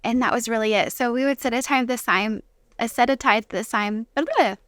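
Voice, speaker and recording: A woman's voice: fairly high pitch, a little fast, a bit bright, a little hiss or hum. A woman talking casually as an interview guest, sharing personal experience as a mother. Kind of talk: casual conversational testimony.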